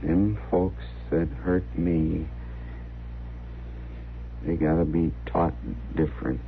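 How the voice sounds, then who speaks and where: Simple hurt young cowboy-era man, very slow and low, brooding, old radio sound. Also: level tone, gravelly, heavily acted. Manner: slow, halting, folksy rural speech. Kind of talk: 1950s western radio drama acting. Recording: muffled, hissy old radio recording.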